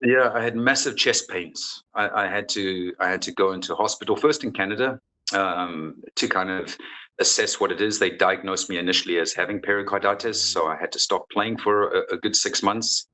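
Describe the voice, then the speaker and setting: Casting: low, forceful-toned man from South Africa, a coach in his forties, frank and halting. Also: poor audio. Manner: earnest, frank, halting with restarts. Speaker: football coach. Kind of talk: candid interview answers.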